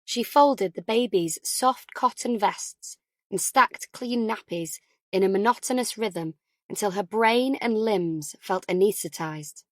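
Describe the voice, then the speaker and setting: A woman's voice: mid-range voice, a little slow, dry recording. A woman reading a thriller novel as a scripted audiobook narration.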